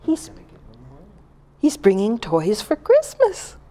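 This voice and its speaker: An older woman reading a story aloud to young children as a grandmother, in a theatrical, expressive way with deliberate phrasing and shifts between hush and emphasis. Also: a little high. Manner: theatrical, dropping to whispers and swelling for emphasis. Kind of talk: expressive storytelling of a Christmas poem to small children.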